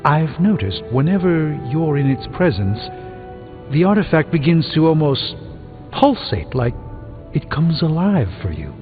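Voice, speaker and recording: An actor playing a learned, dry-witted sorcerer superhero in a video game, speaking in a measured, articulate way. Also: male voice, a little high, sing-song. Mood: pensive, grave. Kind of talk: video game dialogue acting. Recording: muffled thin band, reverberant, music behind.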